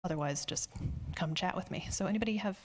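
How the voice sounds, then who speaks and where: Woman, lowish voice, conference Q&A, friendly casual answers. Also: fast. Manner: friendly, conversational, with fillers. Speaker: conference speaker. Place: conference hall.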